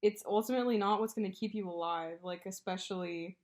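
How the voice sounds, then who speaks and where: A young woman with a congested nose, co-hosting a casual chat, blunt, teasing and quick to swear. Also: mid-range voice, steady tone, darkish tone. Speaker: podcast co-host.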